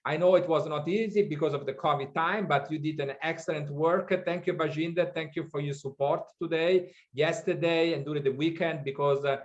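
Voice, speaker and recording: A man hosting a workshop from Sydney over a video call, speaking haltingly with many fillers and non-native phrasing. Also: fairly high pitch, steady tone. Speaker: workshop host. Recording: dull lo-fi call audio.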